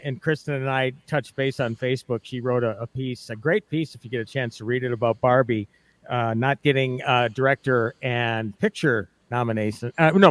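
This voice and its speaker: A man's voice: medium pitch, no echo. A man talking films as a guest on a radio show, chatty and full of restarts. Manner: talkative, restarts often. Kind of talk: casual radio chat.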